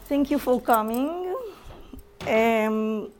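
Israeli woman lecturing in halting English. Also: on the high side, lively intonation, faint music behind. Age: young adult. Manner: halting, self-correcting non-native English with restarts. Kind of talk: public lecture. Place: lecture room.

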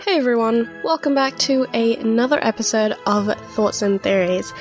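Slightly croaky, nasal woman podcaster. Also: fairly high pitch, moderate pace, loud music behind the voice. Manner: rambling, chatty, frequent ums and apologies. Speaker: podcast host. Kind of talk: casual solo podcast chat.

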